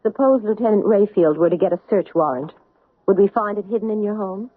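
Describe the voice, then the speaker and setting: An actress playing a precise, methodical doctor who investigates in an old radio drama. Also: female voice, mid-range voice, brisk, husky, lightly acted. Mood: probing. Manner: precise, reasoning step by step. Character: sharp methodical woman doctor-sleuth. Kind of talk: 1970s radio drama acting. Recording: dry tinny old radio broadcast.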